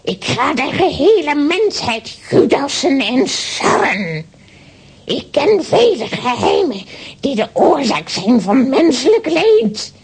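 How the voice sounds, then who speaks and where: A very high-voiced, ancient witch, gloats and brags spitefully. She draws her words out slowly and swoops theatrically, as in a children's radio play. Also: sing-song, vocal fry, full character voice. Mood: menacing, boastful. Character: boastful, spiteful centuries-old witch in a children's story.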